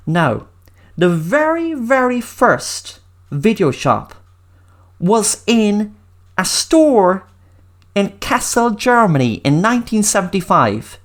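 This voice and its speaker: A woman with an Irish accent hosting a solo podcast, speaking emphatically and repeating words for stress. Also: medium pitch. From Ireland. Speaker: podcast host.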